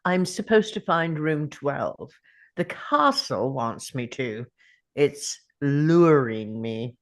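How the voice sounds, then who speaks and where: Guy acting a weary grieving time traveller in a drama read, low slow musing voice, lots of swoops. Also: big pitch swings, a bit bright, full character voice. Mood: pondering. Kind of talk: script table read, introspective monologue.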